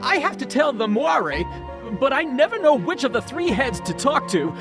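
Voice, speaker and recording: A woman's voice: high voice, sing-song, moderate pace, over music. A woman playing a fretful, exasperated and melodramatic messenger of fate in a comic audio drama, theatrical in delivery. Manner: theatrical, swooping.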